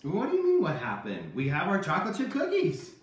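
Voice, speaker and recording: A man's voice: high voice, huge pitch swings, fairly quick. Man teaching kids, very echoey room. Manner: emphatic, repetitive teaching. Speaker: children's Bible lesson teacher. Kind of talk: kids' sermon with a puppet sidekick. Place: home kitchen, indoors. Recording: very echoey room, distant microphone.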